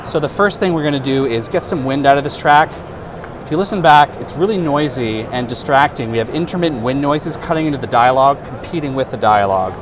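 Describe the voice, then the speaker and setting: Man demoing software at a noisy booth. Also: medium pitch, a little fast. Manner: relaxed, explanatory, casual fillers. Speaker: product specialist demonstrating software. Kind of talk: trade-show product demo. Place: trade-show booth, indoors. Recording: noisy trade-show booth.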